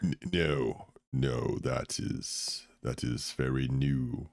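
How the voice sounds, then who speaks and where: A man running a tabletop game as game master, narrating with hesitant pauses and fillers. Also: a bass voice, steady tone, vocal fry, darkish tone, no room sound.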